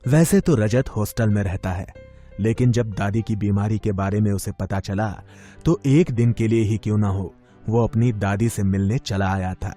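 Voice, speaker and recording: A man's voice: lowish voice, normal speed, mellow, faint music behind. A man reading scripted narration for a moral story as its narrator.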